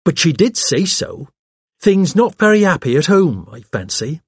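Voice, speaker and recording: A man's voice: a little high, very animated, unhurried, husky, no room sound, clean studio sound, voice pushed up, full character voice. A man voicing a chatty, arch young woman with a gushing, pert, colloquial way of talking, as in an audiobook. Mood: arch, confiding. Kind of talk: audiobook character voice.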